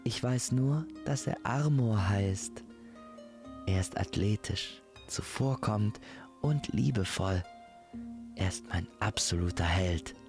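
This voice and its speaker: A man's voice: lowish voice, takes it slowly, music in the background. A man voicing an earnest, courtly young woman in a fairy-tale audio drama. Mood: lovestruck. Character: lovestruck, frank young princess and modest maid.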